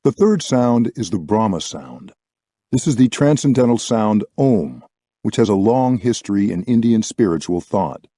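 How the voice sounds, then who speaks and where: A man reading a contemplative audiobook as scripted narration, in a measured way. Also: fairly low pitch, sing-song, warm tone, dry recording, clean studio sound. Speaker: audiobook reader.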